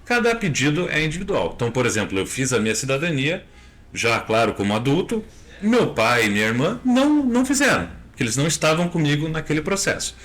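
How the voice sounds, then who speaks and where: A Brazilian man in his thirties, a lawyer answering interview questions in a measured, step-by-step way with frequent tag questions. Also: on the high side, big pitch swings, a bit bright. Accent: Brazilian Portuguese.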